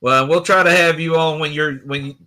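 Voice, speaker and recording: A man's voice: fairly high pitch, fairly even intonation, normal speed, a crowd in the background. A man co-hosting a casual stream, easygoing and upbeat as he wraps up the show. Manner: easygoing, upbeat, wrapping things up. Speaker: co-host. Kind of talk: casual roundtable chat. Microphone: remote stream mic.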